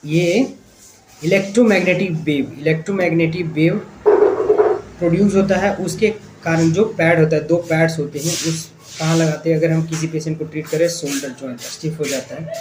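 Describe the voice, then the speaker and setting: Echoey, higher-pitched Indian man teaching. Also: fairly even intonation, normal speed. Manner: explains step by step with rhetorical questions and repetition. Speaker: physiotherapy instructor and channel host. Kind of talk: informal spoken lecture to viewers. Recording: echoey room, clear background noise.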